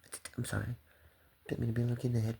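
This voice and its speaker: A man's voice: medium pitch, flat delivery, rapid-fire, vocal fry, dark tone, slightly rough. A man talking to the camera in a rambling, swearing monologue that jumps between topics.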